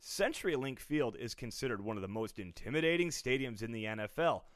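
A man's voice: medium pitch, expressive, fairly quick, no echo, clean studio sound. A man co-hosting a comedy sports podcast, reading out news items and riffing on them with frequent laughter. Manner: reads headlines then jokes, laughs often. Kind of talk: casual comedic chat.

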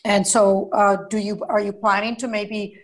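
A woman's voice: medium pitch, flat delivery, normal speed. A woman working as an educational consultant, hosting an interview and chatting in a friendly way, often filling her speech with "you know" and "uh". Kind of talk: conversational interview.

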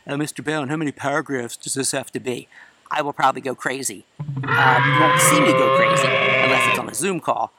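A man in his forties, a high school English teacher, giving a chatty, self-assured class introduction outdoors in a courtyard. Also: noisy background. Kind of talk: class introduction video lecture. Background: crickets and chirping.

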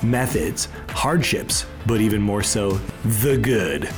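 A man's voice: fairly low pitch, animated, slow pace, over music. A man hosting a podcast who introduces his guest and asks questions in an upbeat, eager way. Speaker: podcast host. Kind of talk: podcast interview.

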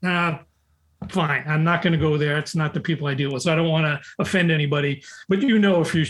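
A man's voice: on the high side, steady tone, fast talker, a bit bright. An older man, a recruiter, chatting on a call and telling long, rambling anecdotes with frequent restarts. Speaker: recruiter, guest. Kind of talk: casual conversation on a call. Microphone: call audio.

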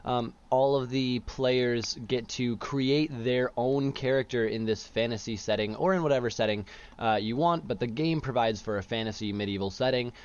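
A young man talks easily and loosely, drifting through fillers and false starts as he explains things to his friends. His pitch sits in the middle range, and the audio is muffled and lo-fi. It sounds like an unpolished student podcast. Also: moderate pace, smooth. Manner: relaxed, rambling with fillers and restarts. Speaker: student podcast co-host, game master. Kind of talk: casual chat. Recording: dull lo-fi audio.

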